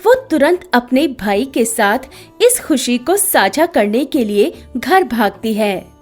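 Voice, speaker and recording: Woman narrator, high animated voice, children's story narration, music behind. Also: slow pace. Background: background music.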